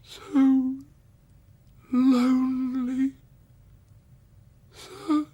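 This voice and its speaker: A man playing a tiny, frightened orphan boy who pleads haltingly through tears in a theatrical storytelling. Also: extremely high pitch, flat delivery, warm tone, slightly rough, voice pushed up, exaggerated voice. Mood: tearful pleading. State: crying.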